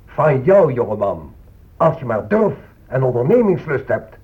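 An actor playing a stern, coaxing colonial zealot with a declamatory, persuasive manner in a radio drama. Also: male voice, mid-range voice, sing-song, unhurried, husky, exaggerated voice. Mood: coaxing, hearty. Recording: tinny, phone-like old radio recording.

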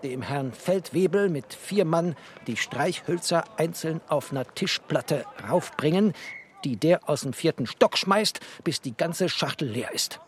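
An actor playing a bookish, sardonic refugee who narrates in a literary, poetic and opinionated way, as in a radio drama. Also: male voice, a little high, expressive, unhurried, a little hiss or hum. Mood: indignant, barking. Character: bookish, sardonic male first-person narrator, a postwar refugee.

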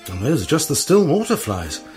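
A man's voice: on the high side, huge pitch swings, a little fast, darkish tone. Woebegone, earnest tackle-shop man for radio comedy; faint music under the voice. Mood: weary, listing. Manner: plaintive, earnest, self-pitying. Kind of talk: radio comedy drama acting.

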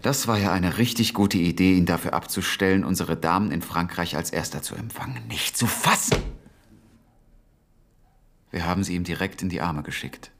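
An actor playing a brooding, sardonic intelligence officer in a radio drama. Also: male voice, low voice, moderate pace, gravelly, a bit bright, a little hiss or hum. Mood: bitter.

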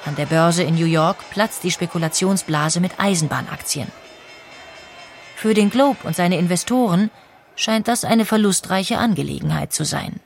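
A woman narrating a scripted history documentary with clear, even storytelling and rhetorical questions. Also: on the low side, normal speed, soft background music.

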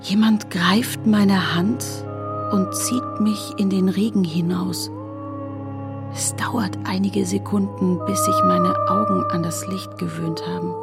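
An actress playing a sceptical, sarcastic woman in an audio drama, wry and blunt, who can fall into a hushed, trance-like delivery. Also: mid-range voice, gravelly, exaggerated voice. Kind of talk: German audio drama acting.

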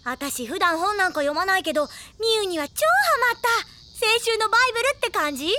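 A woman playing a perky, excitable high school girl in an anime audio drama, breezy, blunt and exclamatory. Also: fairly quick, noisy background. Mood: enthusiastic.

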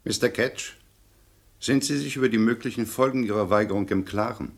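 A man's voice: fairly low pitch, a little fast, vocal fry. An actor playing a stern, patient, insistent police inspector in a radio crime play, formal and controlled as he probes.

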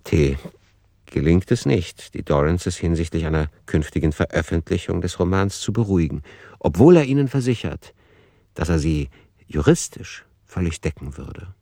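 Male radio play narrator: deep register, factual, analytical, no room sound. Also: expressive, moderate pace, vocal fry, dark tone, no echo, clean studio sound.

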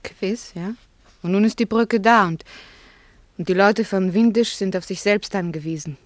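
An actress playing a thoughtful, gently ironic village doctor's wife in a German radio drama, earnest and searching. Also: female voice, mid-range voice, normal speed, no room sound, natural voice. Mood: pensive, resigned.

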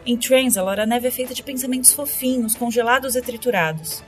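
Woman acting a high-voiced, thoughtful Time Lady. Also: fairly quick, soft background music, close to an everyday voice. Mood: puzzled. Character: preoccupied, kindly adventuring Time Lady queen. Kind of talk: audio drama acting.

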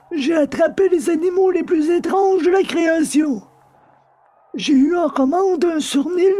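A man voicing a small, excitable former beast hunter in a dramatised audiobook, with a strained manner. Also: very high-pitched, voice pushed up. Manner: strained, near hysteria.

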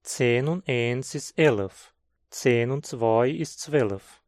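A man from southern Brazil with a Hunsrik German accent, reading a scripted textbook dialogue in a slow, clear teaching manner. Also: mid-range voice, a little mellow, no echo, clean studio sound. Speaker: language course reader.

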